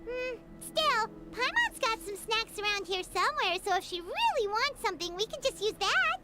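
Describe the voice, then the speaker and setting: Squeaky, very high-pitched little fairy girl. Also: normal speed. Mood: chatty. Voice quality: squeaky, childlike. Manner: refers to self in third person, animated. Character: small chirpy childlike fairy-like girl companion, eager and excitable. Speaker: guide and companion. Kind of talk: video game voice acting.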